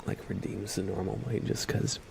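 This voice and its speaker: A soft-spoken man who sounds slightly under the weather, chatting casually as an ASMR livestream host and often slipping into whispers. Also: deep voice, little pitch movement, moderate pace, vocal fry, slight background noise.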